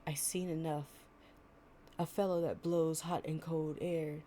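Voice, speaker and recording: A woman plays a male satyr from a storybook fable. He is puzzled, then blunt and wary. The delivery is unhurried and low for a woman. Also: little pitch movement, mellow, slight background noise. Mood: firm, disapproving.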